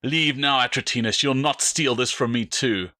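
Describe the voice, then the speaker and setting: A man's voice: medium pitch, normal speed, bright, forceful tone, close to an everyday voice. A man voicing a big, cruel, vengeful Roman soldier, hot-tempered, accusing and sneering in an audiobook. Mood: sneering accusation.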